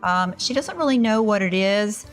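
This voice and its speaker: A woman who plays a tabletop game, explaining things casually in a halting way. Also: medium pitch, animated, soft background music. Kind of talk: casual character introduction and table talk.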